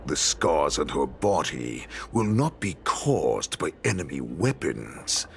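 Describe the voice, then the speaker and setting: An actor playing a gloating wolf-man warlord in a game cutscene, slow and mocking, with a deep gravelly voice. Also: a bit bright, heavily acted. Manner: slow, taunting, with savage laughter. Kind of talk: video game cutscene acting. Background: faint game score.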